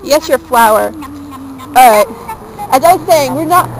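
Young woman vlogger, high voice, jokey and chatty, handheld camera outdoors with faint street hiss. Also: animated, unhurried, low-quality recording. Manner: chatty, jokey, self-mocking. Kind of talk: casual chat while walking. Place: outdoors on a small-town street, later indoors in a wood-paneled room. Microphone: handheld camera held close.

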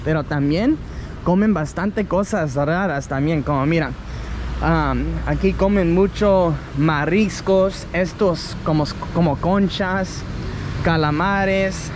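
A man's voice sitting high for a man, talking loosely to a camera held at arm's length. He stops now and then to hunt for a word and corrects himself. Steady traffic and street noise run behind him outdoors. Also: slow. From United States. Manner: chatty, self-correcting, heritage speaker searching for words. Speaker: travel vlogger. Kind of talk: casual walking vlog to camera. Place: city street outdoors in hot midday sun. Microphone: action camera at arm's length.

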